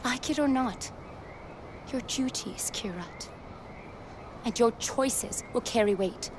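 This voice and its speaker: Earnest rebel woman, over loud ambience. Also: fairly high pitch, slow, noisy background. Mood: urging. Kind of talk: game cutscene acting.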